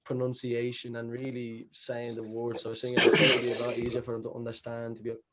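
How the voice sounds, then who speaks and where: A young man with an Irish accent, a rapper talking as a podcast guest in a relaxed, easygoing way. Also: medium pitch, fast talker, a loud crowd. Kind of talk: casual chat.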